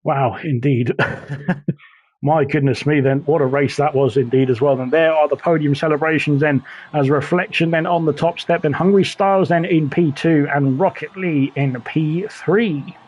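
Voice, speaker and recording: A man commentating a sim racing league, excitable and fond of repeating "indeed" and "then", his voice going hoarse. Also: on the high side, normal speed, lo-fi sound. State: losing his voice. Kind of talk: post-race commentary.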